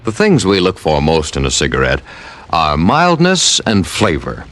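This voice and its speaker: Male announcer with a persuasive 1950s radio read, low voice with a lively pitch, old broadcast. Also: unhurried. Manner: smooth, persuasive.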